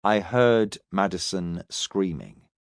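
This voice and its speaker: A man's voice: medium pitch, big pitch swings, moderate pace, no echo, natural voice. Man as a guarded, stubborn suspect, dry sound. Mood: terse. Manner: terse, controlled, defiant. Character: guarded, stubborn Englishman, taxi driver under police questioning.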